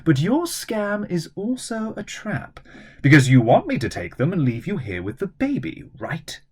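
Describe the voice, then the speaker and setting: A man's voice: on the high side, wildly swooping pitch, normal speed, slightly rough, pitched above the performer's own voice, full character voice. A man plays a haughty woman villain from a children's spy story. The voice is raised and swoops widely. The manner is calculating and imperious, every phrase emphatic. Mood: scheming.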